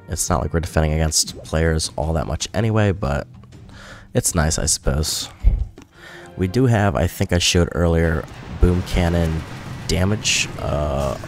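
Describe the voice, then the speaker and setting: Deep-voiced man, slightly nasal from a cold. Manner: rambling, self-correcting, chatty. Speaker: gaming YouTuber. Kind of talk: casual gameplay commentary. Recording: music playing behind.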